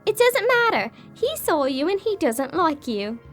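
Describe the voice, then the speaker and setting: A woman's voice: very high-pitched, big pitch swings, a little slow, soft background music, heavily acted. A female performer playing a frightened, sulky, spoiled little English girl in an audio horror drama. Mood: sulky, scared.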